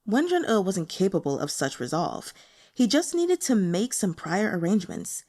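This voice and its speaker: A woman narrates a novel in an expressive storytelling style. Her voice sits at an average pitch for a woman and moves widely in intonation. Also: moderate pace. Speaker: audiobook reader.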